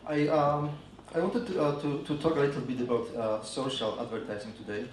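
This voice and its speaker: A man with a Polish accent giving a conference talk on advertising, halting and full of fillers and restarts. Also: mid-range voice, fairly even intonation, slight background noise. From Poland. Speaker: advertising agency speaker. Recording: echoey room from a distance.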